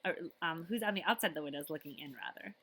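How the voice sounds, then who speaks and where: A woman librarian reading a picture book aloud to children expressively, with chatty asides and questions to her listeners. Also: sits low. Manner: animated, chatty, pausing to ask listeners questions.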